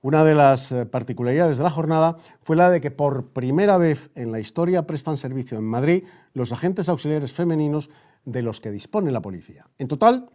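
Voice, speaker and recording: Spanish guy doing old time radio news bulletin, soft dark voice, halting, repeats stuff for emphasis. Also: a little high, lively intonation. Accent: Spain. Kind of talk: news bulletin in the manner of a 1930s radio newscast.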